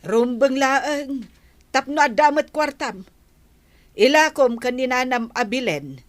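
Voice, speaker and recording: Stern older grandmother, audio drama, woman actor: bossy, nagging, slightly high, dry recording. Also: lively intonation, takes it slowly, no echo. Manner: nagging, commanding. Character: stern, scolding grandmother ordering a child to work. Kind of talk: Ilocano audio drama acting.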